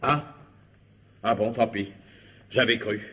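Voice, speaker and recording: Husky, flustered police commissioner. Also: male voice, fairly low pitch, slow pace, bright, forceful tone, full character voice. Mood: earnest, deflated. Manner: flustered, stammering. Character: excitable, anxious, bumbling police commissioner. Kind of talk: French radio comedy-mystery acting.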